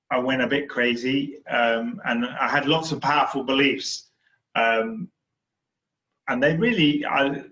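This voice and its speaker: An Englishman speaks candidly, with a bright, forceful edge to his voice at a middle pitch. He hesitates often on small fillers. The audio is muffled and lo-fi. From England. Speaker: psychologist and guest. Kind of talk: candid interview storytelling.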